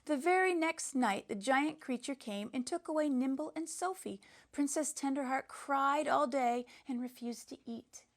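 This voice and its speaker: Sentimental schoolgirl spinning a princess fairy tale, woman reader, earnest and dramatic, highish voice, storybook read-aloud. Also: normal speed, hardly any pauses. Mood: sweet, dramatic.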